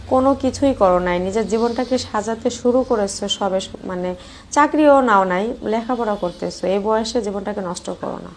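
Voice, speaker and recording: A woman's voice: medium pitch, darkish tone, lightly acted. A woman playing a coy, evasive married sister-in-law in a colloquial phone-call drama, chiding as she talks. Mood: gentle, advising. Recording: faint background noise.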